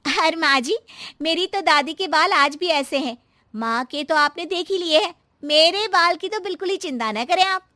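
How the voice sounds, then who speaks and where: A woman voicing an eager, boastful young daughter-in-law in an animated story, deferential and exclaiming. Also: very high-pitched, moderate pace, no echo, studio quality, pitched above the performer's own voice, heavily acted.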